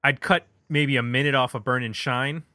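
A man hosts a music podcast in a bright, forward voice at a middle pitch. He talks casually, as in a relaxed album review between co-hosts. The sound is dry and close. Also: moderate pace, no room sound.

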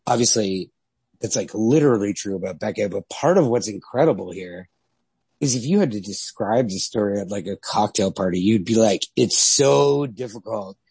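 A man's voice: on the low side, animated, moderate pace, vocal fry, darkish tone, slightly rough, no room sound. A man co-hosting a podcast, discussing literature casually and thinking aloud in a tentative, hedging way. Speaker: podcast co-host.

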